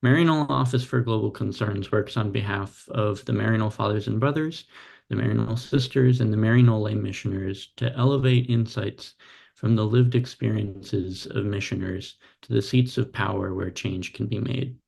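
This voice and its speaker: A man's voice: lowish voice, normal speed, vocal fry, darkish tone, husky. A man hosting a webinar over a video call and reading a formal scripted introduction. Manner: formal, read-out welcome. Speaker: webinar host.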